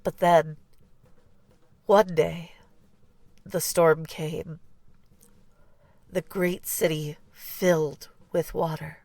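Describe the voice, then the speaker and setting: A woman narrating a children's storybook in an expressive, deliberate read-aloud style. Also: sits low, dry recording. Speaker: storybook reader.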